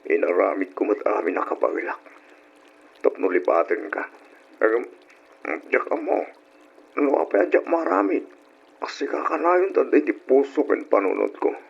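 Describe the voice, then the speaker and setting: A man's voice: mid-range voice, very animated, normal speed, vocal fry, pauses often, husky, highs cut off, exaggerated voice. An Ilocano man acting an ardent, regretful young lover in an old-style radio drama. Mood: sorrowful. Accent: Filipino, Ilocano.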